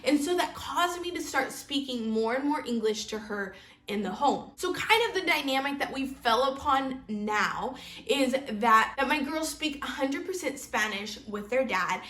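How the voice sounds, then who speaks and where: A woman's voice: sits high, lively intonation, moderate pace, a bit bright, echoey room. A woman in her thirties from Kansas, hosting a homeschool vlog and talking earnestly to camera. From Kansas, United States. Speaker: homeschool channel host. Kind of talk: casual vlog talk to camera.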